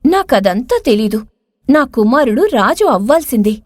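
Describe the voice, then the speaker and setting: A woman acts out an ambitious queen in a storybook drama. She is imperious and insistent, with a high voice that swings in pitch and a dry, close sound. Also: big pitch swings, normal speed, no echo. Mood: demanding, defiant. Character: stubborn, ambitious younger queen and mother. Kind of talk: dramatized moral story dialogue.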